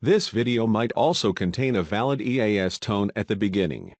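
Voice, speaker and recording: A man reading a content disclaimer in a flat, even, informational way. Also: fairly low pitch, a little fast, loud music behind the voice. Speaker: disclaimer narrator.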